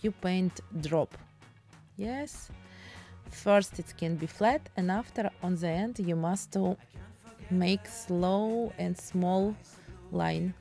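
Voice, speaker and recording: A woman's voice: medium pitch, warm tone. A woman with a Russian accent chatting in broken English while demonstrating a painting technique. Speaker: painting tutorial host.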